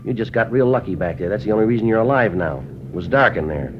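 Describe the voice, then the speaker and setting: A man playing a stern, matter-of-fact police sergeant in a 1950s radio drama, clipped and deadpan with an American accent. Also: on the low side, quick pace, background noise, lightly acted. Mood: stern lecturing. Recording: muffled vintage radio recording.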